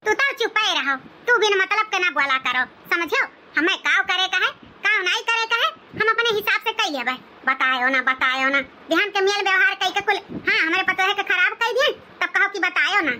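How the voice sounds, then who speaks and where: A woman's voice: very high-pitched, lively intonation, brisk, few pauses, pushed and bright, full character voice. A woman voicing village in-laws in an Indian family cartoon, with a childlike, altered voice and an Awadhi accent. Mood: scolding, bossy. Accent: Awadhi, northern India. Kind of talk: rural family cartoon dialogue.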